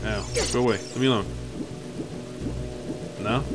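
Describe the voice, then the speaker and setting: A man with a very low, mumbling voice, casually thinking aloud while he streams a game from his room. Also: unhurried. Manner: thinking aloud, relaxed and rambling. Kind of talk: casual gameplay commentary. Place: home room at a desk. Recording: game music playing clearly beneath. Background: game music.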